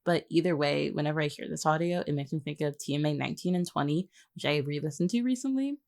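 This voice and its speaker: A woman's voice: low voice, fast talker, creaky, darkish tone. A woman chatting casually in a wry, teasing way as a podcast co-host. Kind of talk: casual behind-the-scenes podcast chat.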